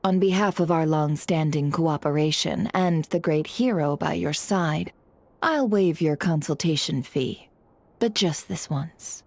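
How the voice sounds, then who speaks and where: A woman's voice: sits low, unhurried, vocal fry, a little mellow, dry recording, full character voice. A woman playing a shrewd, coolly self-assured information broker in a video game, teasing and knowing, fond of pointed rhetorical questions. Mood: smug. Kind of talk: video game character acting.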